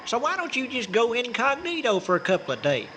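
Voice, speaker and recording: A high, very animated Southern American country man, played by a male actor in a comic radio drama. He is folksy, insistent and good-hearted, heard over faint noise. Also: a little fast, heavily acted. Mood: friendly, persuasive. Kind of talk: comic old-time-style radio drama.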